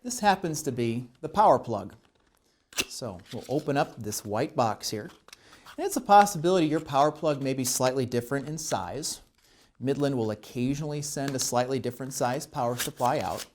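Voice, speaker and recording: A man's voice: mid-range voice, animated, moderate pace, a little hiss or hum. American man giving step-by-step instructions. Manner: clear, methodical explaining with emphasis on key points. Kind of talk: instructional tutorial narration.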